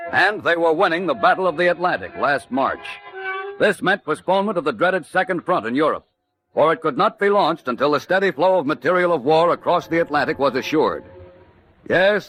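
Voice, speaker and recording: A man's voice: on the high side, fairly bright tone. A man delivering emphatic, urgent scripted narration in a dramatic 1940s radio style.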